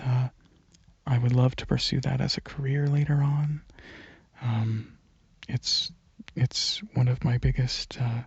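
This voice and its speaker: Slow, soft-spoken young man, relaxed ASMR ramble. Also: mid-range voice, slightly rough, no room sound, dull audio. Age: young adult. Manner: soft-spoken, hesitant, drifting into near-whispers. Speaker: ASMR channel creator.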